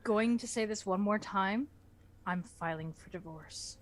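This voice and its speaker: A woman's voice: mid-range voice, a little slow. A woman bantering casually as a guest reader, in a dry, grudging way. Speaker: guest reader in a book club.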